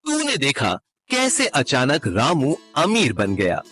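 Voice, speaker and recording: A man's voice: medium pitch, wildly swooping pitch, takes it slowly, a bit bright, faint music behind, heavily acted. A man playing a village husband in a cartoon moral story. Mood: suspicious.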